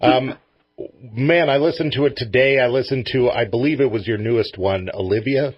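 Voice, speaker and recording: A man's voice: medium pitch, normal speed, breathy edge, dry recording, lo-fi sound. A middle-aged man hosting a radio show, chatting casually as he interviews a guest.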